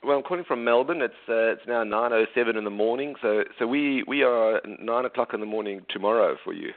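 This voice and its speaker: A middle-aged South African man with a South African accent, an author and investment coach calling in by phone, talking in a halting way with many restarts. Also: medium pitch. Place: calling from home in Australia. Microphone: telephone. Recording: tinny phone line.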